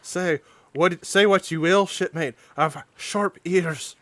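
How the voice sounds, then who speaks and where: A man's voice: sits high, slow pace, a bit bright, no echo. A man voicing a jumpy male sailor who keeps insisting he hears a noise below deck, urgent and insistent.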